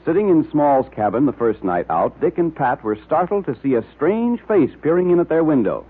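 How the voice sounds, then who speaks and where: Animated American old-time radio announcer, male. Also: on the high side, moderate pace, breathy edge. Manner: emphatic, salesman-like. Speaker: announcer and narrator. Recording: muffled, tinny old radio recording.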